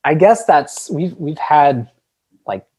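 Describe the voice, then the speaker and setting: A man's voice: a little high, animated, slow, a little mellow, breathy edge. Man telling band stories casually. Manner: rambling, peppered with like and you know. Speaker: podcast guest, indie band frontman. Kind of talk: casual conversational storytelling.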